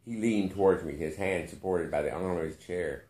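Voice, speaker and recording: A man reading a literary short story aloud with expressive narration. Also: sits low, moderate pace, reverberant. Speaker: story reader.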